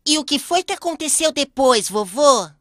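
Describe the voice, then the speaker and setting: A woman's voice: high-pitched, animated, normal speed, a bit bright, a little hiss or hum. A woman voicing a curious, eager young grandson in a dubbed cartoon. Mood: curious, delighted. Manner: eager, inquisitive.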